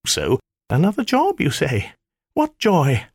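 A cheeky male spirit in the guise of a flirtatious maiden, as a man puts her on in a high falsetto-ish lilt. The voice swoops and dances through a lively range, coy and wheedling, with a dry close sound. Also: slow pace, slightly rough, no echo, exaggerated voice. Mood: fawning. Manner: coy, wheedling, mock-sweet. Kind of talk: audiobook character voice.

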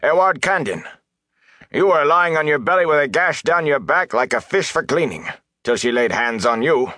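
A man voicing a commanding village mayor and innkeeper in an audiobook, with measured, stern reproach. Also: fairly low pitch, big pitch swings, sharp tone, breathy edge, dry recording, studio quality, voice pushed up, heavily acted.

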